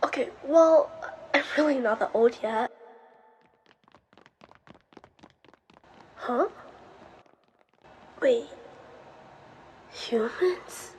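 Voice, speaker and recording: Woman playing a high-voiced, sulky young ghost. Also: a little mellow, slight background noise. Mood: curious, defensive. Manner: sighing, sarcastic, girlish. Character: bored, sulky young female ghost haunting an empty house. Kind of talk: scripted character monologue.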